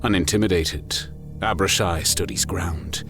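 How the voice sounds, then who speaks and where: A man giving a grave, measured, dramatic narration of a scripted story. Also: deep voice. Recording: music under the voice. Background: music.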